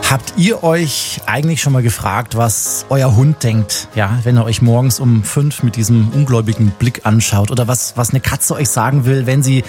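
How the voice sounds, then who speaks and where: A man hosting an interview podcast, opening with a lively scripted introduction and then asking relaxed, conversational questions. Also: medium pitch, moderate pace, hardly any pauses, soft background music. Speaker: podcast host.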